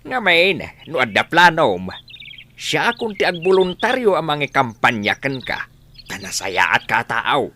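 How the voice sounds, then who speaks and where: Meddling old father played by a man, Ilocano accent, very swooping pitch, sharp forward tone, radio comedy drama. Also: very animated, a little slow, slight background noise, full character voice. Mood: friendly, coaxing. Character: meddling, excitable old village father of a courted daughter.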